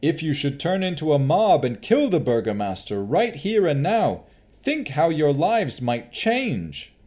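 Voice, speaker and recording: A man's voice: fairly high pitch, very animated, unhurried, darkish tone, pitched above the performer's own voice. A man doing a persuasive young aide. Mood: pleading. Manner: pleading, persuasive.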